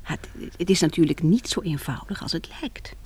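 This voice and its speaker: A woman's voice: deep voice, lively intonation, moderate pace, darkish tone, breathy edge, exaggerated voice. An actress playing a frank, wry young woman in intimate radio drama dialogue, with a candid, teasing way of talking. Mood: tender.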